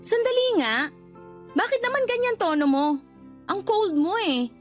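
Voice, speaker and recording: A demanding young Filipina in a radio drama, with a strikingly high voice. She is pouty, nosy and confrontational, and light music runs underneath. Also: very animated, measured pace, exaggerated voice. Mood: prodding, suspicious. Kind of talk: radio drama acting in casual dialogue.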